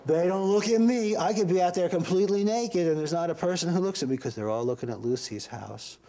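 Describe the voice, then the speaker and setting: Casual male interviewer with a creaky voice. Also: high-pitched, a little fast, warm tone. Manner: teasing, playful. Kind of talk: casual chatty celebrity interview.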